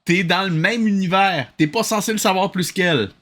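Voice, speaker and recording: A man's voice: high-pitched, normal speed, fairly bright tone. A man with a Quebec French accent giving casual, sarcastic commentary in an exasperated way. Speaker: host of a reaction show. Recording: faint background noise.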